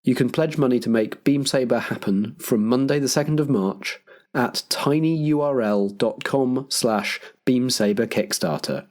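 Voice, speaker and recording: A man's voice: medium pitch, moderate pace, a little mellow. A man acting as compere, delivering scripted programme notes as a podcast host.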